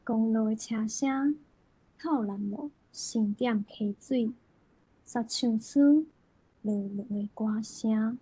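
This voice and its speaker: Female poetry reader, Taiwanese accent, very unhurried, higher pitch, soft dark tone with some huskiness. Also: husky, no room sound, low-quality recording. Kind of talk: expressive literary recitation.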